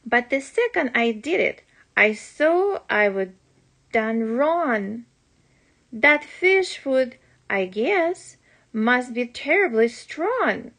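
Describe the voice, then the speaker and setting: A woman reading a rhyming children's storybook aloud as a parent would, in an expressive, deliberate storytelling manner. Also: a little high.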